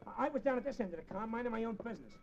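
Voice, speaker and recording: A jumpy, dim-witted hoodlum from old radio drama. His very high, gravelly voice gabbles excitably on a muffled recording. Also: rapid-fire. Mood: feigned nervous innocence. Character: trigger-happy, dim, excitable male gangster. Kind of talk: 1950s radio crime drama acting.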